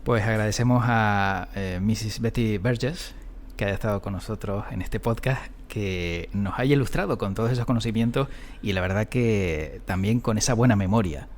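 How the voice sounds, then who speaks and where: A man's voice: fairly low pitch, normal speed. A man hosting a podcast, speaking in a conversational interviewing style. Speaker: podcast host.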